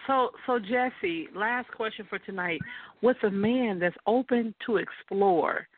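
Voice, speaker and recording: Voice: medium pitch, a little slow, no echo. A host teasing and playfully leading a casual group chat on an adult late-night show. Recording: tinny phone line with some noise.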